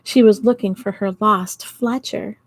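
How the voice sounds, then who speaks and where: A middle-aged or older woman reading a storybook to children, expressive and giggly, pausing to chat with her listeners. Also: medium pitch, no echo. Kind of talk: storytime read-aloud for children. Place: small indoor home office.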